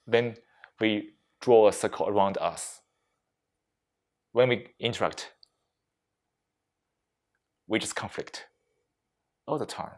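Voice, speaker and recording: A man with a fairly deep voice gives a reflective mindfulness lesson. He talks deliberately, setting out short phrases and leaving frequent pauses between them. His wording is that of a non-native English speaker, with small slips in grammar. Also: darkish tone. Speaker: meditation teacher giving a workplace session. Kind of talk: slow, reflective mindfulness talk to a group.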